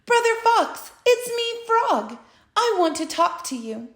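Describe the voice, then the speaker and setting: A woman's voice: very high-pitched, big pitch swings, takes it slowly, voice pushed up, full character voice. A woman storyteller voicing a shy, hopeful young male frog who stammers when he is nervous. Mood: shy, pleading. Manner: stammering, timid. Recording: roomy echo.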